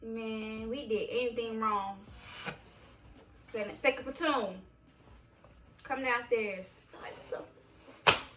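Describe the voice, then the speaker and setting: A young woman co-hosting a vlog, telling army stories in an animated, profane, laughing way. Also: a little high, slow pace, long pauses, reverberant, far from the mic, background noise. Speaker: vlog co-host, army veteran. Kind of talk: casual storytelling chat.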